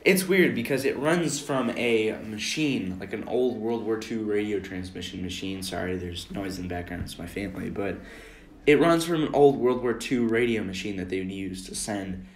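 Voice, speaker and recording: A man's voice: fairly low pitch, normal speed. A young American man giving rambling, informal commentary over a screen recording in an earnest way. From Minnesota, United States. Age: teenager or young adult. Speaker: amateur video maker. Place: room at home. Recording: roomy, echoey home recording.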